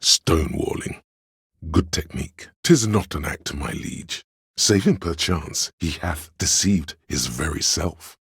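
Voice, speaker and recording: A male actor voicing a sly inner voice of drama in a video game, a scheming courtly servant with theatrical, archaic flourishes and a gravelly, husky delivery. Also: a bass voice, a little slow, dry recording, studio quality, full character voice. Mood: sly, appraising. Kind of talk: video game voice lines, addressing a liege.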